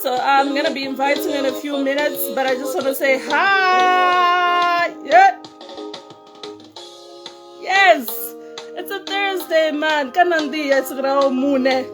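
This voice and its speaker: A woman's voice: high voice, fairly even intonation, takes it slowly, music in the background. A woman hosting a live online talk show, chatting playfully and laughing often. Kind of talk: casual live-stream chat.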